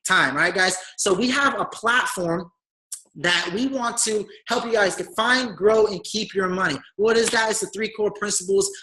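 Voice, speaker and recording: A man with a raspy, hoarse voice from talking all day, giving an eager online sales pitch and often calling his listeners guys. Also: moderate pace, sharp tone, a little hiss or hum, rough recording. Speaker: business opportunity presenter.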